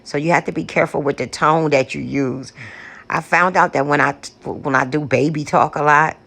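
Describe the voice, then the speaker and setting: Deep, coarse-voiced woman calling softly. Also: steady tone, normal speed, creaky. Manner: gentle, pleading, repetitive calling out. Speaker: paranormal vlogger. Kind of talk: slow, hushed spirit invocations mixed with casual chat to viewers. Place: outdoors on a front porch. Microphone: external microphone.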